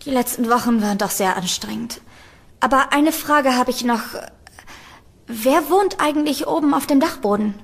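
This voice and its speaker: A woman's voice: sits high, normal speed, creaky. A woman playing a frightened, earnest young female student in an audio horror drama, speaking in an anxious, pleading way.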